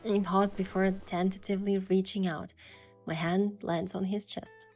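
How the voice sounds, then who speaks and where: A woman playing a sincere, easily flustered young heroine, narrating and speaking in a romance story with soft expression. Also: medium pitch, little pitch movement, normal speed, natural voice. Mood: tender narration. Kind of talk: first-person narration and dialogue in a romance story. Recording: music behind the voice.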